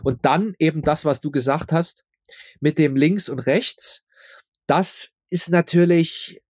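Higher-voiced man explaining casually, dry sound. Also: slow pace, a little mellow, dry recording. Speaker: audio drama maker, interview guest. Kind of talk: casual interview chat.

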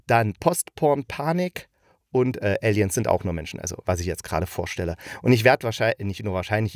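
A man's voice: on the low side, no room sound, clean studio sound. Rambling, enthusiastic man hosting a casual podcast. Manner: rambling, enthusiastic, colloquial, jumping between asides. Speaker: podcast host reviewing audio dramas. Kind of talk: casual unscripted monologue review.

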